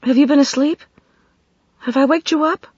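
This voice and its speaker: A woman's voice: high-pitched, animated, normal speed, no room sound, voice pushed up. A woman reading an audiobook, voicing an anxious young woman who blurts everything out in a rush, pleading and upset. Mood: frantic. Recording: dry, slightly dull studio recording.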